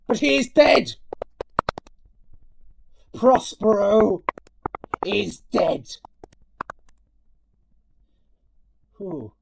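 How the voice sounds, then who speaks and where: A man playing an ugly, spiteful monster in an audio story, delivering a bitter, theatrical monologue of revenge with deliberate menace that swings between hiss and shout. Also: high-pitched, very animated, gravelly, exaggerated voice. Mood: anguished fury. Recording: noisy amateur home recording with clicks.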